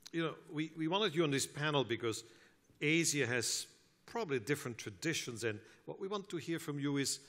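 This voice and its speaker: A man's voice: mid-range voice, a bit bright. A man moderating a conference panel, politely introducing speakers and asking questions. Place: large conference hall. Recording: long hall reverb.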